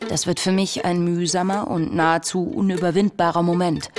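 Actress for young female diarist in a radio play: deep-toned, articulate, troubled and searching, reading intimate confessions. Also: natural voice. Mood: hesitant, reflective. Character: anguished, self-searching young woman diarist, intelligent and passionate. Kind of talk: literary radio play monologue, reading diary and letters.

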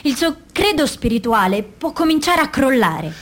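A woman's voice: sits high, sing-song, moderate pace. A woman reading scripted narration for a documentary radio theatre piece.

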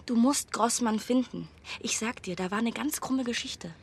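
An actress playing a grieving, agitated woman in a radio drama, with a husky voice. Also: a little high, moderate pace. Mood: urgent.

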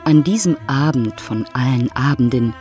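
An actress playing a wry, composed storyteller, narrating an urban love story in a German radio play. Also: female voice, rumbling low, normal speed, warm tone, soft background music. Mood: wry, emphatic.

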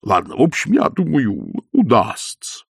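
A man doing a gruff, self-important official. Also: mid-range voice, huge pitch swings, measured pace, dry recording, clean studio sound, exaggerated voice. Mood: gruff grumbling.

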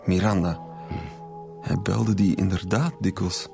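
A man's voice: deep voice, steady tone, a little slow, gravelly, music in the background. A man with a Flemish accent playing a defensive, troubled student being questioned in a radio drama, speaking hesitantly. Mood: explaining uneasily. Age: young adult. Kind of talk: naturalistic radio drama dialogue.

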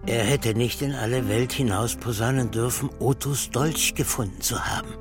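Actor as an imperious old professor, bitter and scornful. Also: male voice, medium pitch, normal speed, close to an everyday voice. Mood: lecturing, fervent. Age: older. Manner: theatrical, lecturing, contemptuous. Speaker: actor in a radio crime drama. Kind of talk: radio drama acting. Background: music.

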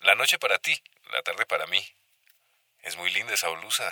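An actor with a Latin American accent playing an eager, curious and slightly jealous lover in a radio drama. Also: male voice, low voice, animated, moderate pace, sharp tone, no echo, clean studio sound, heavily acted. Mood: tender.

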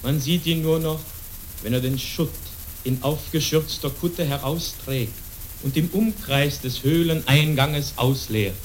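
A man narrating a radio play as a solemn, measured storyteller. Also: on the high side, slight background noise, close to an everyday voice, poor audio. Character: solemn male storyteller narrating a monk's legend.

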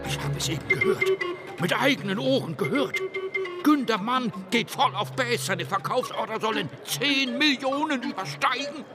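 An actor playing an agitated, suspicious stock exchange trader in a radio drama, speaking emphatically. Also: male voice, very high-pitched, animated, moderate pace. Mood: agitated, resolute. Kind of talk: German radio drama acting. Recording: music under the voice.

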